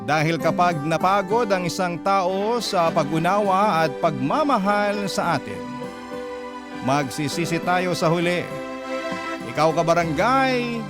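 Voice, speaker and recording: A male radio storyteller with a Manila Tagalog accent reads listeners' letters. His voice sits somewhat high, with an earnest, confiding delivery. Music plays clearly beneath him. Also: animated, measured pace. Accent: Filipino, Manila Tagalog. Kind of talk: dramatic narration of listeners' love letters. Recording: clear music bed behind.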